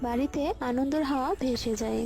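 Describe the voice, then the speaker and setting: Casting: female story narrator, very high voice, loud music bed. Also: fairly even intonation, fast, gravelly.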